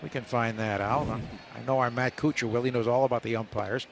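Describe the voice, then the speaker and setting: A man's voice: medium pitch, fairly quick, soft background music, rough recording. A man calling a baseball game live on the radio as the play-by-play announcer. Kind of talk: live radio sports commentary. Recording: steady stadium ambience behind live broadcast. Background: ballpark ambience.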